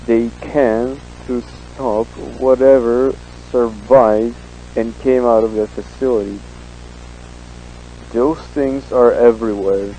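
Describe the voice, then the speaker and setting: A man playing a nervous head researcher turned whistleblower, speaking in a halting, uneasy way in a found-footage horror confession. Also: medium pitch, mellow, close to an everyday voice, poor audio. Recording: hissy static-laden found-footage tape.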